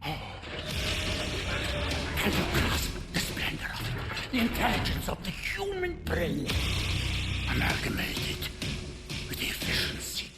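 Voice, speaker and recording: A sadistic old German scientist from a game cutscene, speaking slowly and savoring each phrase. His fairly high voice swings wildly in pitch over loud music. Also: huge pitch swings, heavily acted. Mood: grandiose. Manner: theatrical, gloating, savoring his words. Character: sadistic elderly German Nazi scientist villain. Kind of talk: video game cutscene acting.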